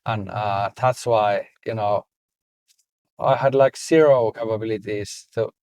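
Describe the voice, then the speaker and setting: Slow-talking Finnish man, low voice. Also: fairly even intonation, a little mellow, no room sound. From Finland. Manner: unhurried, many "like" fillers. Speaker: podcast co-host, startup founder. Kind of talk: casual conversation.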